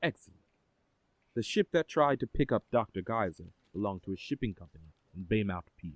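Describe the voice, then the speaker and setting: Composed agency boss for a superhero audio drama, male actor: low, expressive, formal and assured. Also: fairly quick, dry recording, close to an everyday voice. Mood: composed, businesslike. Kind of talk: scripted audio drama acting.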